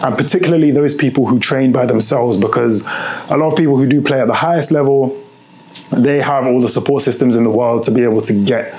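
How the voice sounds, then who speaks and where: A man's voice: medium pitch, fast talker, darkish tone, rough recording. A young British man from the UK hosting his own podcast, talking in a chatty, rambling way with lots of fillers. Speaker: podcast host and basketball coach. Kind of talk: casual monologue.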